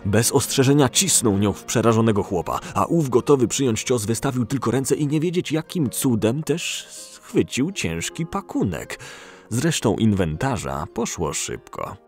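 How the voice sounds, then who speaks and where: A man's voice: medium pitch, very animated, normal speed, a little mellow. A man narrating a story in a scripted storytelling style for an audio drama. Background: music.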